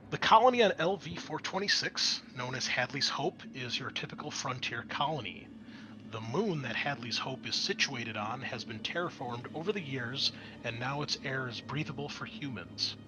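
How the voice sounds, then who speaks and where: Male game master, mid-pitched voice, measured explanatory narration for tabletop roleplaying, clear noise behind him.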